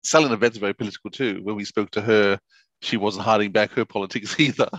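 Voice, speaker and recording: A man's voice: on the low side, fast, a bit bright, no echo. A middle-aged man hosting a casual podcast interview, speaking into a headset microphone. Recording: dry, slightly dull headset sound.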